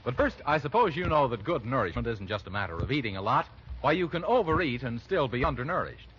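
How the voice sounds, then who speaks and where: A man's voice: medium pitch, sing-song, quick pace, fairly bright tone. A man announcing a scripted 1940s radio advertisement in an emphatic, salesman-like manner. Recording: dull, noisy 1940s radio recording.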